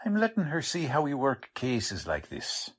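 Man playing police sergeant, radio drama, husky, animated pitch, blunt, skeptical, slangy. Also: moderate pace. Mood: matter-of-fact. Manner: blunt, folksy working-class cop talk. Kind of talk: 1940s radio drama acting.

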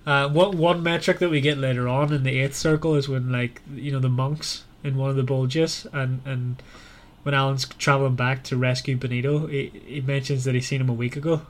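A man's voice: mid-range voice, fairly even intonation, a little fast, hardly any pauses. A man hosting a casual book discussion in a conversational, questioning way. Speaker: podcast host.

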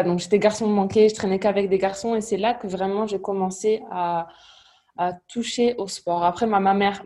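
A woman in her thirties with a southwestern Toulouse accent, heard over a video call. Her voice is mellow and warm, and her mid-pitched delivery is lively, full of small hesitations and restarts. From southwest France, near Toulouse. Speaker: guest, sports coach. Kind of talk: casual remote interview.

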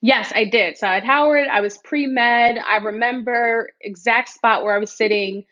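A woman's voice: a little high, unhurried, a bit bright. American woman talking candidly, dull lo-fi audio. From New York City, United States. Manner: candid, reflective storytelling. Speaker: interview guest, startup founder. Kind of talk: casual interview conversation.

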